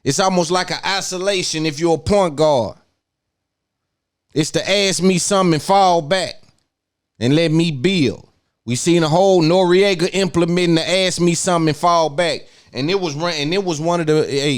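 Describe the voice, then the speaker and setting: A man's voice: a little high, clean tone, dry recording, clean studio sound. A man hosting a podcast, giving an opinionated monologue and repeating phrases for emphasis. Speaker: podcast host.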